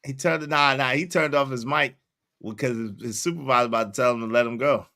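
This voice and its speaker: A man hosting a casual group talk on a live stream, animated and quick to laugh, acting out quoted lines. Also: mid-range voice, no echo, clean studio sound. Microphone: mic on live stream.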